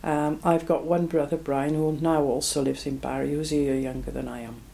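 Woman, 70s, Belgian-born, low mellow voice, reflective oral history interviewee. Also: level tone, moderate pace, creaky. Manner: reflective, plain-spoken, frequent ums.

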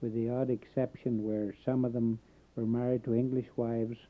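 A man born in Canada recalling his Welsh community in a halting oral history interview, pausing often. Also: mid-range voice, flat delivery. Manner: halting, many filler pauses. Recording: tinny phone-like old tape.

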